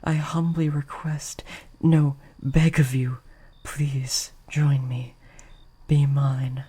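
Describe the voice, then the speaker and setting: A breathy, mellow vampire of medium pitch, acted out by a performer for an intimate audio roleplay. The voice is soft and often sinks toward a whisper. The phrasing is courtly and hesitant, gentle yet possessive and lovesick. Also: little pitch movement, very slow. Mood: pleading, devoted. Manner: formal, old-fashioned, hesitant, earnest.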